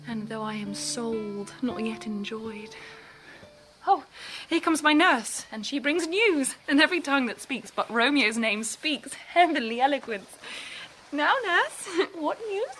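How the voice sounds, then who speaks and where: An actress playing a passionate young bride in classical radio drama, with a theatrical, impassioned verse delivery. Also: female voice, sits high, lively intonation, unhurried, fairly bright tone, soft background music. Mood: eager, longing.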